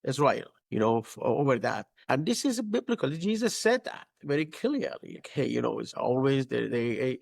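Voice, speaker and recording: A middle-aged man from Iran with a Persian accent, a pastor answering interview questions in halting English full of fillers and restarts. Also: mid-range voice, sing-song, gravelly, no room sound. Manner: halting, searching for words, many fillers. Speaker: pastor and guest.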